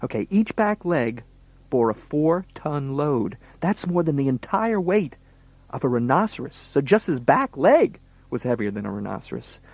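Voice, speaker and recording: A man's voice: fairly high pitch, sing-song, normal speed, vocal fry, a little mellow, dry recording. A man working as a children's entertainer, playing the straight man in comedy banter and explaining things to young listeners.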